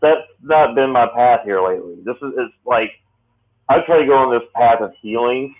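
A man in his late thirties hosting a casual podcast chat, talking in a rambling, halting way with many restarts. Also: mid-range voice. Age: late 30s. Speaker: podcast host. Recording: tinny phone-like line.

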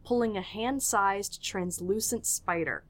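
A woman's voice: medium pitch, unhurried. A woman narrating a scripted audio drama in a storytelling style.

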